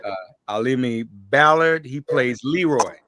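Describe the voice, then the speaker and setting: A man's voice: medium pitch, measured pace, bright, forceful tone. A man hosting a conversational interview show, welcoming guests and addressing them directly. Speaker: podcast host interviewing guests.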